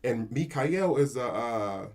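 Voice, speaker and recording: Blunt, profane young rapper. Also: male voice, medium pitch, animated, slow pace, a little mellow. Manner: blunt and profane, calls people "bro". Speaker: rapper, interview guest. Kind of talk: casual chat.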